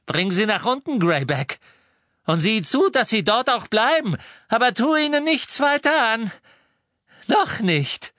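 A man voicing a cruel, sharp-tempered witch villain who gives commanding orders in an audiobook story. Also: fairly high pitch, sing-song, a little slow, dry recording, clean studio sound, pitched above the performer's own voice. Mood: sharp, menacing.

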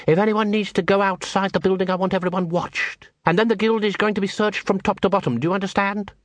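Man playing a curt, stern guild master, raised pitch. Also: steady tone, fast talker, no room sound, natural voice. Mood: snapping, commanding. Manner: curt, commanding. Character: stern, snappish senior assassin doctor, guild leader.

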